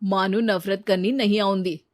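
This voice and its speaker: A woman's voice: mid-range voice, fairly even intonation, moderate pace, close to an everyday voice. A woman voicing a concerned, trusting mother-in-law with a Punjabi accent in a story.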